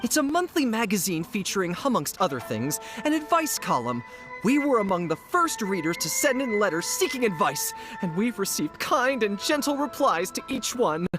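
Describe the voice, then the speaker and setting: Actress as devout superfan leader, theatrical, gushing, very expressive intonation, anime game, faint background music. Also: female voice, medium pitch, normal speed, lightly acted. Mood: reverent, persuasive. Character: fervent fan club leader, devout and gushing. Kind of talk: English anime video game voice acting.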